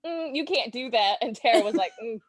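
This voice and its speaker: A woman speaking as a guest on a casual podcast, halting and feeling her way through thoughts with lots of "like". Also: on the high side, expressive, a loud crowd. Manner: halting, peppered with "like". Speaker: guest listener.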